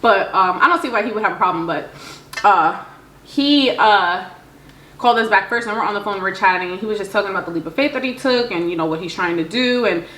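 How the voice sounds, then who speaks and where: A woman vlogger telling stories in a casual, slangy, animated way, often acting out what people said in dialogue. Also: mid-range voice, quick pace. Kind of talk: casual storytime chat. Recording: roomy echo.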